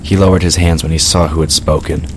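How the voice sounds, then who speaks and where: A man with an extremely low voice narrates a fantasy audio drama in scripted prose. There is clear noise behind his voice. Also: flat delivery, quick pace.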